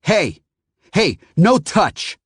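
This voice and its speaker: A sneering, foul-mouthed bully barks insults in a raised, loud voice, as a male narrator puts him on. The recording is dry and close, with no room sound. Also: high voice, sing-song, drawn-out words, slightly rough, dry recording, pitched above the performer's own voice, full character voice. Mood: disgusted. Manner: sneering, abusive shouting. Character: rude, foul-mouthed adult man in cowboy boots.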